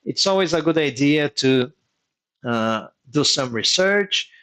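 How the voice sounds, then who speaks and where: A man whose first language is Portuguese gives advice to engineers in a live online session. His voice sits a little higher than most men's. He talks in a methodical, teaching way and comes back to his key points so they stick. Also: expressive, slow, sharp tone. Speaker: engineering migration mentor hosting a workshop.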